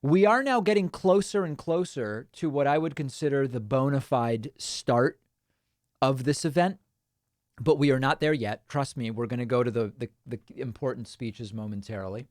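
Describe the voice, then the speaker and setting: A man whose first language is Spanish, born in Argentina, hosts a live political stream in an offhand, chatty way, reading out viewer messages and tossing in quick replies. His voice sits at an ordinary middle pitch for a man. The sound is dry and close, with no room echo. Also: darkish tone. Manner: casual, offhand, frequent ums and uhs. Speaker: political commentary host. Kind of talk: livestream chat, reading viewer comments.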